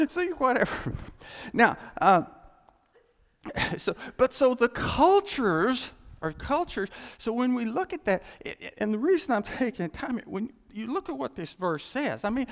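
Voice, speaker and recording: Preacher man, gravelly, animated pitch, rambling and chuckly, long hall echo. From United States. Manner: rambling, self-interrupting, chuckling storyteller. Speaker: pastor. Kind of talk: casual sermon with jokes.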